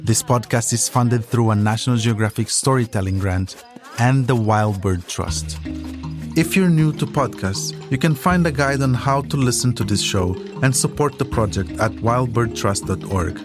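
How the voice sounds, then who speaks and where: Guy hosting a documentary podcast, reading a script, medium pitched voice, music behind him. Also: moderate pace. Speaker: podcast host and narrator.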